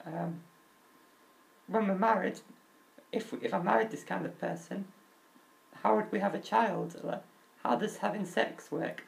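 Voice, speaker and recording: Crackly, high-voiced young man. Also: steady tone, gravelly. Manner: open and earnest, halting restarts, nervous laughs. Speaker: vlogger. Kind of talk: candid personal talk.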